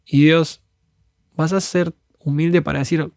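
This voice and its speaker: A man's voice: on the high side, steady tone, a little slow, gravelly, a little mellow, no echo, studio quality. A man from Argentina with an Argentine Spanish accent, speaking earnestly on a podcast and acting out prayers as he preaches in a conversational way. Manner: earnest and fervent, acts out prayers as quotes. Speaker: guest speaker.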